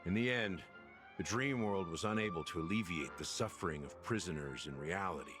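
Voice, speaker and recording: A grave older officer from a video game, with a low-pitched voice. He speaks in a measured, solemn, world-weary way, like someone recounting old wars. Music plays clearly underneath. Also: lively intonation. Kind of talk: dramatic video game voice acting. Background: background game music.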